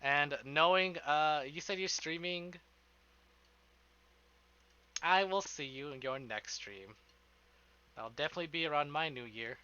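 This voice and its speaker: A male streamer talks casually to his viewers in a rambling, sweary, informal way. His voice is very bright and forceful, sitting a little high for a man, and the stream audio is slightly dull and band-limited. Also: measured pace, pauses often, smooth. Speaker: live streamer.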